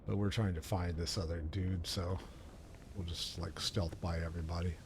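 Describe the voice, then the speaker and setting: A man's voice: deep voice, fairly even intonation, normal speed, noisy background. A man streaming a video game, casually thinking aloud in rambling commentary as he plays. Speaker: livestream host playing a video game.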